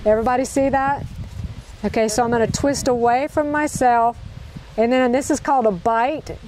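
A woman's voice: fairly high pitch, steady tone, moderate pace, darkish tone, slight background noise, low-quality recording. A woman teaching a group to tie knots outdoors in a park, upbeat, repeating each step and checking in with the group. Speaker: knot-tying instructor. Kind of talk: hands-on outdoor demonstration.

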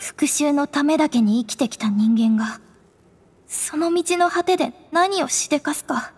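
A woman's voice: sits high, normal speed, faint music behind. A woman voicing an earnest, caring teenage ranger girl in an anime game, talking in a boyishly informal way. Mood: earnest warning.

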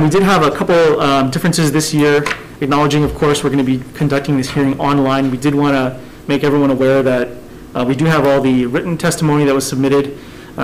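A man's voice: mid-range voice, slight background noise, low-quality recording. A man, chairing a government committee hearing from a desk microphone in a hearing room. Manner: measured, businesslike, reading out agenda items. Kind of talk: formal hearing procedure.

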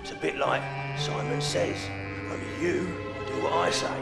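An actor playing an older London crime boss in a video game, gravelly, taunting, deliberate and theatrical. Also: male voice, fairly high pitch. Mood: menacing. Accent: London English. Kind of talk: video game cutscene acting. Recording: echoey room with music underneath.

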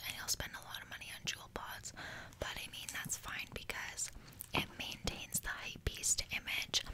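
A young adult woman whispering a casual ASMR roleplay close to the microphone, explaining things hesitantly with pauses. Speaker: ASMR creator. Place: small bedroom, indoors. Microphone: close desk microphone.

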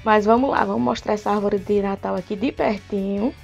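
A woman vlogger, chatting to viewers while touring a park at night, her voice a bit hoarse, recorded on a phone. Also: medium pitch, fairly even intonation, fairly quick, darkish tone, muffled recording, faint music behind. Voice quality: hoarse at times. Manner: chatty, addresses viewers directly. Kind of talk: casual vlog tour narration. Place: outdoor city park at night. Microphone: phone camera at arm's length.

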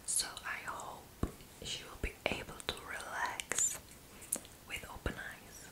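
A young woman in her twenties whispers through an ASMR roleplay. She takes her time, very slow and soft, with a casual way of talking. She sits close to the mic in a small room. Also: rough recording. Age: 20s. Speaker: ASMR creator.

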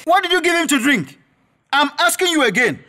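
An actor playing a stern, suspicious professor uncle with a Nigerian accent, scolding and questioning in a radio drama. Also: male voice, extremely high pitch, big pitch swings, brisk, sharp tone, full character voice. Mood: alarmed. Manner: scolding, interrogating, commanding.

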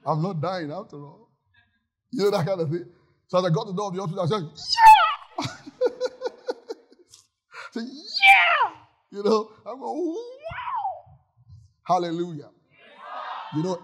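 Husky middle-aged man preaching animatedly. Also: on the high side. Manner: animated preaching with shouts and laughs. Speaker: pastor. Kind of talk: sermon. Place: church auditorium with congregation.

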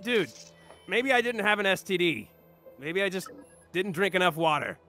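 A man's voice: high-pitched, lively intonation, moderate pace, bright, forceful tone, soft background music. A man playing a crude, exasperated cyborg swordsman in an improvised, slangy comedy game fan dub.

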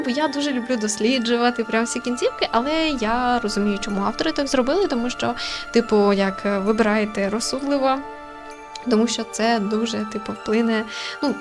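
A woman hosting a casual game playthrough, thinking aloud in a chatty, informal way. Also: a little high. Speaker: gaming channel host. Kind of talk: casual let's-play commentary and reading aloud. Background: game music.

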